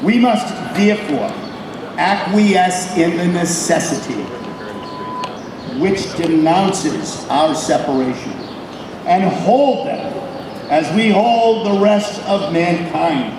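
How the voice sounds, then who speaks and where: A man delivers a solemn ceremonial proclamation in a very high, raised voice. He moves slowly, phrase by phrase, like an officer addressing a public gathering. The sound is heavily echoing and distant, with clear background noise. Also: animated. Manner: loud, solemn, oratorical, with deliberate pauses between phrases. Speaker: military company captain giving a ceremonial reading. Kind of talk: formal public declamation of a historical proclamation. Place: public ceremony at an old statehouse.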